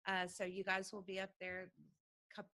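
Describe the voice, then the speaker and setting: Female podcast host, conversational interviewing, forward bright tone. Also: mid-range voice, monotone, normal speed.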